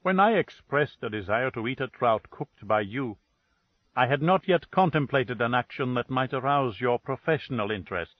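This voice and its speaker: A man reading the lines of a calm, calculating ambassador in a slow, measured way. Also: mid-range voice, no echo, lo-fi sound, close to an everyday voice. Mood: calm cold.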